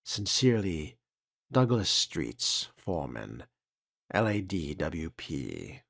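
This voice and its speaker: A man voicing a weary, resigned foreman's letter in a game, with solemn, formal and deliberate delivery. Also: sits low, very animated, creaky, background noise, heavily acted. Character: weary, resigned old-time utility foreman reading his letter. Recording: loud music behind the voice.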